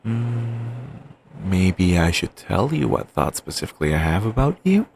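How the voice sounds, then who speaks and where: A man playing a creaky, doting yandere boyfriend. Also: lowish voice, sing-song, slight background noise, exaggerated voice. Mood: doting, soft. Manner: soft, murmuring, slow and rambling endearments. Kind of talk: ASMR audio roleplay.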